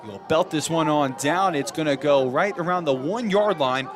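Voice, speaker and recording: A young American man with a fairly high voice works as a football play-by-play announcer. He delivers live commentary on the game, with clear music heard behind him. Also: moderate pace.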